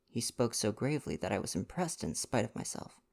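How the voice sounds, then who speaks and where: Male ASMR reader, gentle and intimate, expressive intonation, creaky texture, bedtime storytelling. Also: lowish voice, a little fast. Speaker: ASMR roleplay performer reading aloud.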